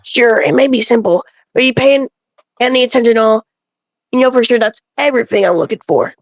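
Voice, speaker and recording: A woman with a distinctly high voice reads scripted character dialogue aloud. She delivers it as an amateur dramatic reading, like a monologue addressed to one listener. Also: brisk, rough recording.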